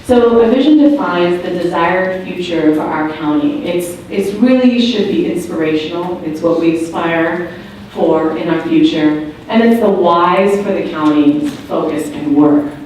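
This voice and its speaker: A woman with a loud voice, patiently explaining the steps of a public meeting activity. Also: on the low side, a little slow, slight background noise. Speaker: meeting facilitator. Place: large meeting room. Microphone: far room recording. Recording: echoey room from far off.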